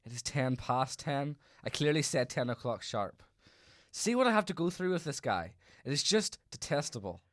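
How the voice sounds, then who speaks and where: A man's voice: mid-range voice, very animated, moderate pace, no room sound, studio quality, lightly acted. A man playing a formal, condescending, impatient senior detective in a radio drama. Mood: exasperated. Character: stern, pedantic, impatient senior male detective. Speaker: voice actor.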